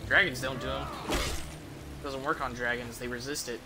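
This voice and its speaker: A man's voice: medium pitch, flat delivery, normal speed, noisy background. A man giving casual, rambling gameplay commentary in a hesitant, profanity-prone way. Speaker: game streamer.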